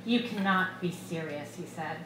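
A woman's voice: fairly low pitch, measured pace, sharp tone. A middle-aged woman, an author reading her short story aloud into a stand microphone at a live literary reading. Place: small bar room, live reading. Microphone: microphone on a stand, close. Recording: echoey room, clear background noise.

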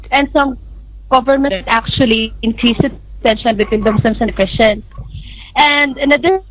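A young woman from the Philippines with a Filipino accent, explaining things as an interview guest. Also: on the high side. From Manila, Philippines. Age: young adult. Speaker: guest, youth activist. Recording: dull, lo-fi remote connection.

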